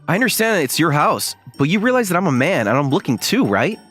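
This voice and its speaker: Man acting an animated, earnest young anime guy. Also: on the high side, fast, husky, faint music behind, full character voice. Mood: scolding. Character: earnest, easily flustered young man, considerate and shy. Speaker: voice actor and narrator in a manga dub. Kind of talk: acted dialogue and first-person narration.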